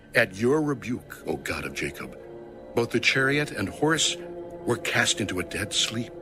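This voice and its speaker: A man reading scripture aloud in a solemn, dramatic, measured audiobook style. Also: on the low side. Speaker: scripture reader.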